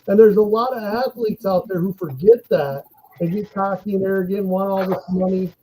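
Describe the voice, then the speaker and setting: A man telling animated anecdotes in a casual podcast chat. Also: high voice, a little fast, noisy background. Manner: animated anecdotes, laughs while telling stories.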